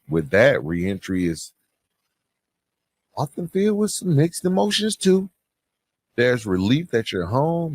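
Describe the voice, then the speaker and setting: A man hosting a podcast, giving earnest, reflective advice in a measured way and checking in with the listener as he goes. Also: medium pitch, slightly rough, no echo. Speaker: podcast host.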